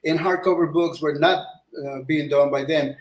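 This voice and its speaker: Middle-aged guy from ecuador rambling on, a bit high-voiced, echoey room. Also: steady tone, moderate pace. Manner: rambling, many restarts and fillers. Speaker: guest illustrator. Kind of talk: casual interview chat.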